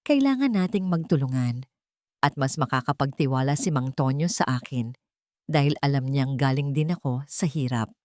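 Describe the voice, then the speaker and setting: A woman's voice: extremely low pitch, lively intonation, moderate pace, darkish tone, no room sound. Determined young female lead for story audio read by a woman narrator, earnest, emphatic, dry recording. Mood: firm.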